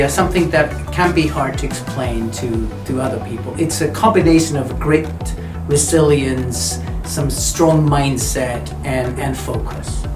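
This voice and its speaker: Finnish man, measured, roomy echo. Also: medium pitch. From Finland. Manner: measured, explanatory. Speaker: school staff member. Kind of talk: interview answers. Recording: roomy echo, distant mic, background music.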